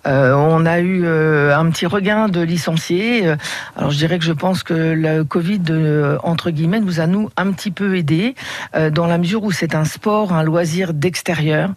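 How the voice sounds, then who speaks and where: A middle-aged woman from the Paris region answering radio interview questions in a measured way, with frequent hesitations. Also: low voice, dry recording. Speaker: head of a regional riding committee, radio guest.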